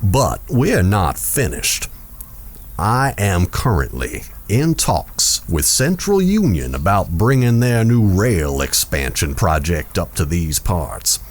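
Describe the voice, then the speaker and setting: A man playing a smooth, self-important saloon owner in a Western audio drama, speaking in a formal, courtly, measured way. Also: sits low, very animated, full character voice. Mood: proud, courteous.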